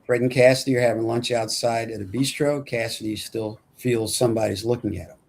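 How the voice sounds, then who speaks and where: A man's voice: mid-range voice, fairly even intonation, fairly quick, darkish tone, slight background noise. A man narrating stage directions at a film script table read in a plain, matter-of-fact way.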